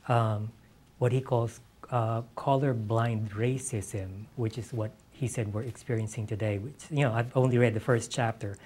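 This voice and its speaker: A middle-aged man talks as a guest novelist on stage, his voice medium in pitch. His delivery is casual and halting, with opening words often repeated before he finishes a thought. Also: a little mellow. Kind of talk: casual onstage interview. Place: on stage in an auditorium before an audience.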